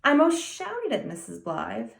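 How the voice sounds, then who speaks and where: A woman's voice: on the high side, huge pitch swings, unhurried, vocal fry, roomy sound, full character voice. A woman voicing a serious, earnest small boy of nearly ten in a storybook reading. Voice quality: grave, emphatic childlike delivery.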